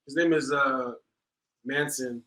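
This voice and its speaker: A young man, a rugby player guest on a podcast, giving relaxed, short answers. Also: medium pitch, takes it slowly. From United States. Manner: laid-back, short answers. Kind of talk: casual interview chat.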